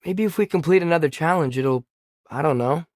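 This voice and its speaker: A man's voice: a little high, fairly quick, darkish tone, no room sound, clean studio sound, natural voice. Earnest teenage boy played by a young man, game companion. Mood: curious. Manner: earnest, youthful, curious. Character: eager, earnest teenage boy fighting beside his father. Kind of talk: video game companion voice lines.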